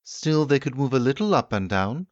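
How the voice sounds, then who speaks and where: A man's voice: mid-range voice, sing-song, normal speed, dry recording, dull audio, voice pushed up, natural voice. A man voicing a sceptical, contrary Victorian medical man with an insistent, questioning manner, as in an audiobook. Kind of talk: audiobook character acting.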